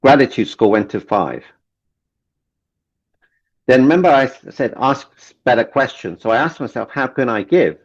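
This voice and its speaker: A man's voice: mid-range voice, lively intonation, moderate pace, long pauses. Earnest man, dull lo-fi audio. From Kenya. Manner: earnest, repeats key phrases for emphasis. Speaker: relationship coach, guest. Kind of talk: motivational interview talk. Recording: dull lo-fi sound.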